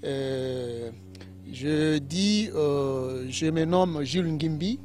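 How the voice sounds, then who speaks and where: Halting Congolese man, slightly high voice. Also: a little mellow, soft background music. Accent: Congolese French. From Kinshasa, DR Congo. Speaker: guest political scientist. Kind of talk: interview answers, explanatory.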